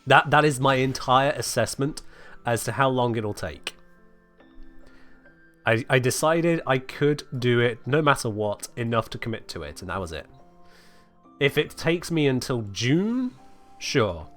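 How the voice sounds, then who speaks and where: A man's voice: mid-range voice, expressive, measured pace, long pauses, fairly bright tone. A sick man rambles easily through a live gaming stream, answering chat between coughs. His voice sits in an ordinary middle range. Faint music plays underneath.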